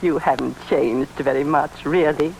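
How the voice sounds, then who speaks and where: An actress playing an emotional, devoted middle-aged wife in an old radio melodrama, halting and breathless in delivery. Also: female voice, low-pitched, fairly even intonation, creaky, a little mellow, low-quality recording. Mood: sheepish, affectionate. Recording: hissy old radio drama recording.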